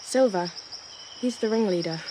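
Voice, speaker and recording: A woman's voice: mid-range voice, normal speed, dark tone, slight background noise, lightly acted. A woman playing an earnest, polite young cabin boy in an audio drama. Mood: grave. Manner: polite, earnest, well-spoken.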